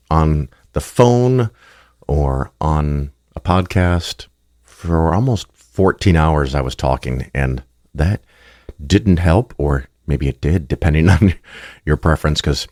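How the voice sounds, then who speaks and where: Man, podcast host, extremely deep voice, raspy from a cold, blunt casual talk, dry sound. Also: lively intonation, slow pace, a little mellow, no echo. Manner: blunt, plainspoken, chatty with fillers. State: getting over a cold. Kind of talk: casual solo monologue.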